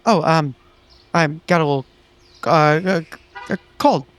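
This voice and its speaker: A man with a somewhat high voice plays a tabletop game. He chats casually about the scene and his character, recorded close and dry. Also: unhurried, no room sound, soft background music.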